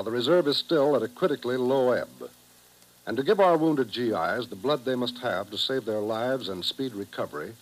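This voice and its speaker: An American man announcing in a measured, formal 1950s radio style. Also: mid-range voice, rough recording.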